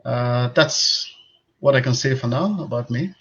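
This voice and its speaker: A man, a public health professor, introducing himself on a panel in a measured way with frequent hesitations. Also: medium pitch, fairly bright tone, husky, lo-fi sound. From Cameroon.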